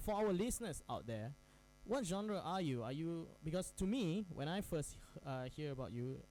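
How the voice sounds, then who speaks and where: A man's voice: a little high, very animated, normal speed, darkish tone, poor audio. A man hosting a campus radio interview, chatty, laughing often, with lots of fillers and restarts. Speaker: radio host.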